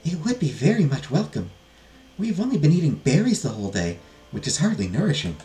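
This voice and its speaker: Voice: mid-range voice, big pitch swings, brisk, warm tone, echoey room, pitched above the performer's own voice, close to an everyday voice. A performer voicing a young fugitive princess, earnest, weary and courteous, with formal storybook phrasing. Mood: polite, weary.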